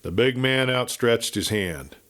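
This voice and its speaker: Measured male Western storyteller. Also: medium pitch, expressive, fairly bright tone. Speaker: audiobook narrator. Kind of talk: dramatic storytelling narration of a Western.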